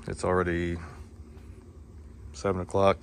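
A man casually talking through his boatbuilding project in a home garage workshop, relaxed and easygoing, recorded on a handheld phone. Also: low voice, a little slow, creaky, a little hiss or hum. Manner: relaxed, easygoing, thinking aloud.

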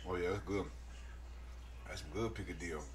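A man in his thirties joking around in a home kitchen in a relaxed, low-key way. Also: normal speed. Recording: distant phone mic, kitchen noise.

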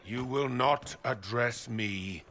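An actor playing a contemptuous, self-important Templar commander in a fantasy game, speaking in haughty, oratorical scorn. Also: male voice, mid-range voice, slow pace, a bit bright, slight background noise. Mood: scornful. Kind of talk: fantasy game acting, speechmaking.

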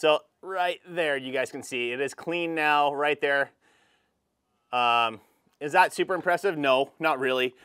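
Chatty man reviewing gear, slightly high voice. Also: a little slow, sharp tone, clear, resonant tone. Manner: chatty, addresses viewers as guys, frequent fillers. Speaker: car detailing channel host. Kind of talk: casual product review vlog. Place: garage workshop, outdoors by a van, and a home hallway.

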